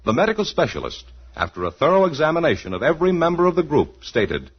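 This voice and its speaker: A man's voice: fairly high pitch, wildly swooping pitch, fast talker, breathy edge. A man with an American accent formally and emphatically reading a scripted 1950s radio advertisement. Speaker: radio announcer. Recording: muffled vintage radio recording.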